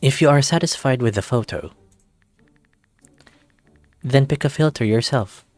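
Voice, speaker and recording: A man's voice: mid-range voice, measured pace, a little mellow, no room sound, lightly acted. A man voicing a sly, self-assured male silver fox mentor in a visual novel, coaxing and matter-of-fact. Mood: casual, pushy.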